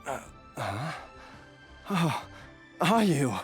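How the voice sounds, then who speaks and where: A man voicing a gentle, confused, ailing middle-aged father in a video game, hesitant and apologetic. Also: a little high, very animated, soft background music, exaggerated voice. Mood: dazed, apologetic. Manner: hesitant, halting.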